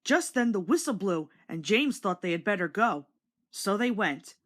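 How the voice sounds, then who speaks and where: A woman narrates a children's storybook in a scripted read-along style. Her voice is medium in pitch, with very lively, varied intonation. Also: moderate pace.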